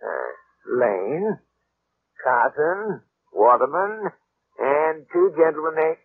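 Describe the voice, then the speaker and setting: An actor playing a nervous, creepy old innkeeper who speaks haltingly and repeats himself, in old-time radio drama style. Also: male voice, fairly high pitch, very animated, breathy edge, full character voice. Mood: flustered, hesitant. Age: older. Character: nervous, creepy old man keeping a closed inn. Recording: tinny, narrow old radio recording.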